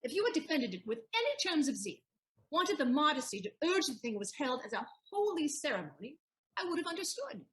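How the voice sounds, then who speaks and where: A woman playing a proud, sharp-witted wife in a Shakespearean audio play, teasingly indignant in declamatory verse. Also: high-pitched, sing-song, a little fast. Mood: indignant, accusing.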